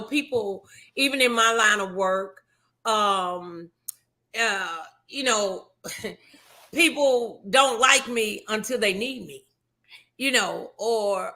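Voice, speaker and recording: A woman's voice: fairly high pitch, bright, forceful tone. A middle-aged American woman hosting a casual motivational talk show, speaking in an emphatic, encouraging way.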